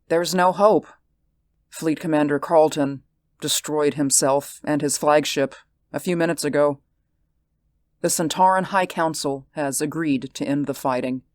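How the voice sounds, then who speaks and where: A cool, commanding older woman council leader, as a male narrator puts her on in a storybook reading. She speaks quietly and with hard composure, in a voice lifted high above his own low register. Her timbre sounds changed and thinner than his plain voice. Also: no room sound, natural voice.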